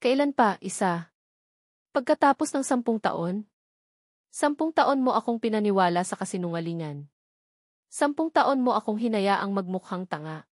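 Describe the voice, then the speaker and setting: A woman's voice: medium pitch, animated, moderate pace, pauses often, dry recording, studio quality, lightly acted. Woman voicing an insistent, wounded, angry man. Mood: angry, demanding. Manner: insistent, accusing. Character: angry, hurt, demanding adult man confronting former lover. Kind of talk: dramatic romance story narration.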